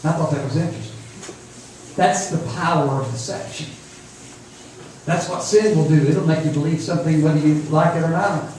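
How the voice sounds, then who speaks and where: A man's voice: on the high side, moderate pace, long pauses, darkish tone. A man, preaching informally to young people in a folksy, repetitive way. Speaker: preacher at a youth camp. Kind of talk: informal sermon. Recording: echoey room, distant mic, faint noise.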